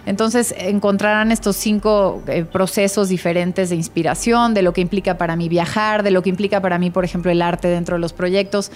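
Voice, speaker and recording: A woman's voice: on the low side, fairly even intonation, fairly quick, few pauses, darkish tone. A woman with a Mexican Spanish accent giving reflective, enthusiastic interview answers as an interior designer.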